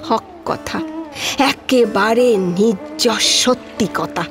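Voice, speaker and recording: A woman's voice: a little high, very slow, over music. A woman playing an older village maidservant in an audio drama, rustic, motherly and emotional, speaking rural West Bengal dialect. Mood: resigned. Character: older village maidservant on pilgrimage, tender-hearted, plain-spoken.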